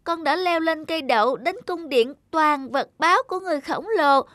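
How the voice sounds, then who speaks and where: A woman voicing a naive, eager little peasant boy in a children's cartoon, earnest and expressive. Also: high-pitched, moderate pace, dry recording, studio quality. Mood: contrite.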